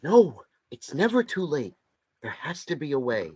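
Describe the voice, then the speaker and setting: A man voicing the ghostly memory of a dead lover in a table read of a stage play, speaking in a slow, gentle, earnest way. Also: on the high side, very animated, husky. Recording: dull lo-fi sound.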